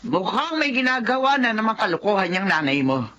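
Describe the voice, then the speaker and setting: A woman playing a sharp-tongued, disapproving old grandmother in a Filipino radio drama. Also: medium pitch, very animated, a little fast. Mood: indignant. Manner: scolding, indignant. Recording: dull band-limited audio.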